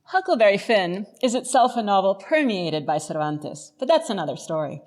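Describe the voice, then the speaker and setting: A woman's voice: mid-range voice, big pitch swings, normal speed, darkish tone. A woman presenting a scripted literary lecture as narration.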